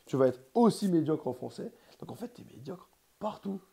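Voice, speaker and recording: A man's voice: fairly high pitch, very animated, normal speed, vocal fry, warm tone. A man in casual conversation, talking in a rambling, emphatic way with lots of slang. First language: Portuguese heritage, quasi bilingual.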